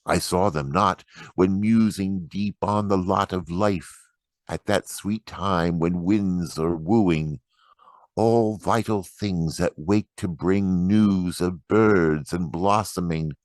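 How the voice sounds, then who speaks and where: A man reciting poetry dramatically, with solemn, measured phrasing and deliberate pauses. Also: low-pitched, no echo, studio quality. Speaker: poetry reader.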